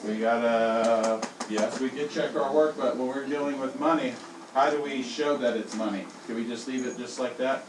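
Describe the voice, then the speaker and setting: Man, classroom teacher, animated call-and-response, echoey room, distant noisy recording. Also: normal speed. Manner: animated, swings voice high and low to drill the class. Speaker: elementary school teacher. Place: classroom, indoors. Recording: echoey classroom from far off, with noise.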